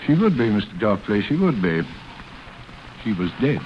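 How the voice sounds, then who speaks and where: An actor playing a stern police inspector in a vintage radio drama, asking measured, pointed questions. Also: male voice, on the low side, sing-song. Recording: dull lo-fi old radio recording with music.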